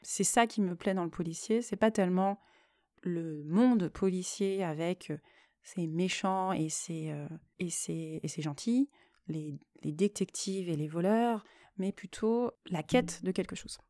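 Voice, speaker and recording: Woman doing a solo podcast, soft mellow tone, kinda hesitant and thoughtful, really dry close sound. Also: fairly low pitch, measured pace, dry recording. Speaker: podcast host and author. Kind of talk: reflective solo podcast talk.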